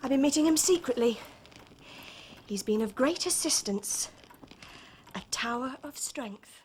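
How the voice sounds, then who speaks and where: A woman's voice: high-pitched, lively intonation, a little slow, a little mellow, a little hiss or hum. A secretive, confiding young woman. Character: quiet, secretive young woman, calm and resolved.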